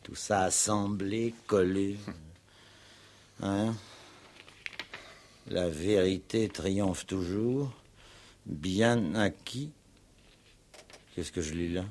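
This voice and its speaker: An actor playing an indignant, determined village police commissioner in an emphatic, authoritative style of 1960s French radio drama. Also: male voice, deep voice, very slow, long pauses, darkish tone, a little hiss or hum. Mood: scrutinizing, scornful. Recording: old 1960s radio play recording.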